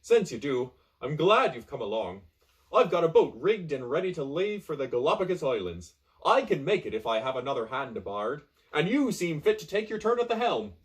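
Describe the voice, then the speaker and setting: Pirate with a red beard, rowdy and loud, a bit higher than the reader's own voice, put on by a man reading aloud. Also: very animated, moderate pace. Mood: blustery. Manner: loud, blustery pirate talk. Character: fierce red-bearded male pirate, boisterous.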